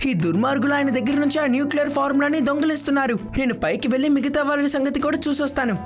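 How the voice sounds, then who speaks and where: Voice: high voice, racing. An actor voicing a brave, cocky young boy hero in an action cartoon, confident and polite but taunting. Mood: confident, urgent. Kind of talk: dubbed action cartoon dialogue. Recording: loud music behind the voice.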